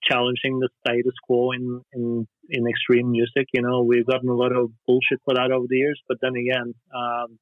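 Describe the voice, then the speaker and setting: A Norwegian musician talking casually in an interview, hesitant, with lots of fillers and restarts. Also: male voice, mid-range voice, monotone, brisk, no echo. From Norway. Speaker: musician guest. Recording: dry tinny phone line.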